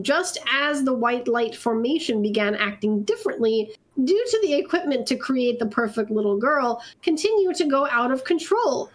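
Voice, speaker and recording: A woman narrating fan fiction aloud for laughs in an amused, theatrical storytelling way. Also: sits high. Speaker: narrator in a comedy reading group.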